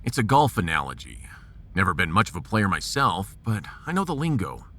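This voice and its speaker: A man playing a gruff, folksy American starship captain in a sci-fi audio drama, resolute and commanding with homespun slang. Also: low voice, very animated, brisk, sharp tone, exaggerated voice. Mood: wry.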